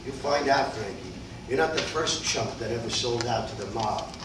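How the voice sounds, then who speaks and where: A distant, very echoey recording with clear background noise carries a blunt, world-weary boxing manager played by a man in a staged reading. He cautions his fighter in a matter-of-fact way. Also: mid-range voice, normal speed, lightly acted. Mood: stern, warning. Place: live staged reading in a roomy indoor space. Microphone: distant video camera. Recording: echoey, noisy room, distant camera microphone.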